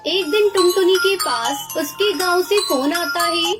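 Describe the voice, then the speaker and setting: A woman narrates a cartoon tale from a script. Her voice is extremely high-pitched, and loud music runs behind the storybook-style telling. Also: measured pace.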